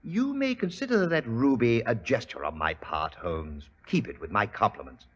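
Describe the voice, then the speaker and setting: An actor playing an imperious, wealthy industrialist in an old radio mystery, speaking formally with coldly courteous menace. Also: male voice, mid-range voice, very animated, moderate pace. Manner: smooth-talking, formal, menacing. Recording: dull, hissy 1940s radio recording.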